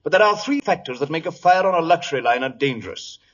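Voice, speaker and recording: A no-nonsense sea captain in an old radio drama, blustery and emphatic. The voice is high and somewhat raspy. The recording is dull and muffled. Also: male voice, lively intonation, quick pace. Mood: grave, emphatic. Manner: emphatic, blunt, explanatory.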